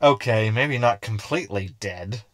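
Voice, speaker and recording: A man's voice: lowish voice, measured pace, fairly bright tone, no room sound. A man playing a jittery, sarcastic hologram crew member in a sci-fi comedy audio drama, whiny and easily flustered. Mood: sarcastic, grumbling.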